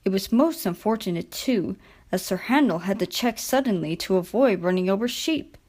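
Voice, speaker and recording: A woman narrating a children's story from a script in an expressive, deliberate storytelling manner. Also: mid-range voice.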